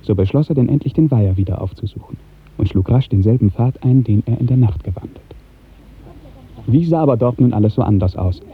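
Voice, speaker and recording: A man narrating a literary radio drama in 1950s style, with measured, expressive storytelling. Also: mid-range voice, breathy edge. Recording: muffled, tinny 1950s radio recording.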